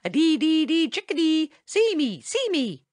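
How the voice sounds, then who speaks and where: A woman's voice: on the low side, very animated, moderate pace, dry recording, studio quality. A woman voicing a cheery, boastful little male chickadee bird in a storybook reading, bubbly and merry. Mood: merry, singing.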